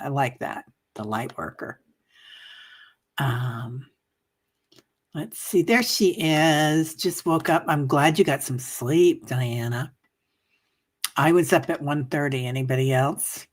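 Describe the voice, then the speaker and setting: A woman hosting a livestream, chatting casually and rambling with many fillers, reading out viewer comments, coughing and a bit hoarse. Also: low voice. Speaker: livestream meditation host. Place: home livestream.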